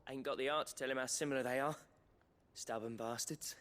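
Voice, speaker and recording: A man's voice: medium pitch, fairly even intonation, a little fast, a bit bright, natural voice. An actor with an English accent playing a good-natured, wry working man in a video game. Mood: fond, resigned. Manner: colloquial, wry. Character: chirpy, good-natured, folksy male working-class villager. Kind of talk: dramatic video game dialogue.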